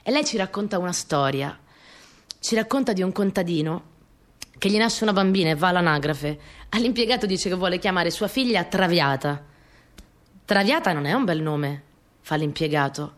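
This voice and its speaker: A woman's voice: on the low side, expressive, moderate pace, natural voice. An actress playing a young peasant girl who recounts her rural family life in simple, repetitive phrases for a radio play. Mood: storytelling. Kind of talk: first-person dramatic storytelling.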